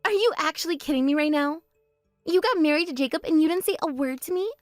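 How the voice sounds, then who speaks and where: A woman's voice: high-pitched, fast talker, no room sound, studio quality, soft background music, natural voice. A woman voicing a bratty, boastful younger sister who mocks and brags in a voice drama. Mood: indignant. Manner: mocking, spiteful bragging. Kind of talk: voice drama, one performer.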